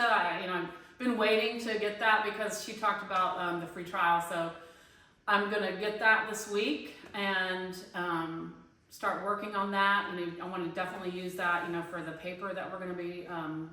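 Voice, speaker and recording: A woman's voice: lowish voice, fairly even intonation, moderate pace, pushed and bright, distant mic. A woman in her fifties, a singing teacher and online student, talking casually and thinking aloud with many fillers and restarts about her own voice and teaching. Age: 50s. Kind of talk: casual spoken class discussion post. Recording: very echoey room, away from the mic.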